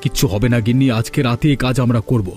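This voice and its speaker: Guy doing a pushy greedy village rich man for a cartoon, mellow tone, bit of music behind. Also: mid-range voice, fairly even intonation, rapid-fire, lightly acted. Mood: excited scheming. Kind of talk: Bengali cartoon story voice acting.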